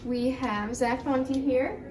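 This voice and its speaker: Teenage female student reporter, casual presenting, high voice, roomy distant sound. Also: fairly even intonation, slow, background noise. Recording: echoey, distant mic, music over it.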